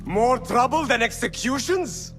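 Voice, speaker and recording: An actor playing a proud, ruthless rebel leader in a video game, commanding and defiant. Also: male voice, very high voice, lively intonation, unhurried, creaky, music in the background, exaggerated voice. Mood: outraged. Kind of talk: video game cutscene acting.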